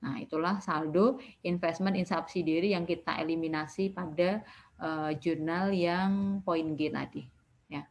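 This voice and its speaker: Low-pitched woman lecturing. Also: steady tone, unhurried, a little mellow. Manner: didactic, repeatedly checking with short tag words. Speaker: accounting lecturer. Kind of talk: recorded online lecture, explaining step by step.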